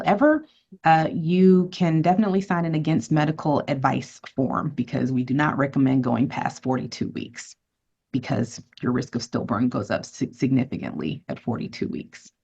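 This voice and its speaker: A woman's voice: low-pitched, a little mellow, breathy edge, highs cut off. A woman physician giving an educational webinar to patients, friendly and conversational as she answers questions and runs polls.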